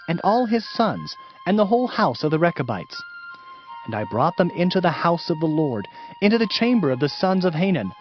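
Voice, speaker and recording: High-pitched, stern biblical prophet. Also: male voice. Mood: solemn recounting. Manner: declamatory, emphatic. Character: stern, fervent male Old Testament prophet. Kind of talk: dramatized scripture reading. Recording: dull audio with music behind.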